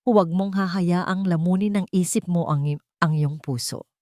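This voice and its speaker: Soft, frail dying wife, voiced by a woman narrator. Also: fairly low pitch, moderate pace, no echo, clean studio sound, lightly acted. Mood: tender, earnest. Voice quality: soft, weak voice. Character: frail dying wife, gentle and loving, pleading wisely.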